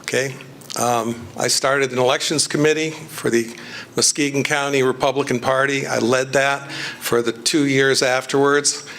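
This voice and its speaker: A blunt man speaks directly to a meeting crowd. His voice is mid-pitched and matter-of-fact. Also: fairly even intonation, normal speed, fairly bright tone, slight background noise. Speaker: former county commissioner candidate, poll challenger. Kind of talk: casual talk to a meeting.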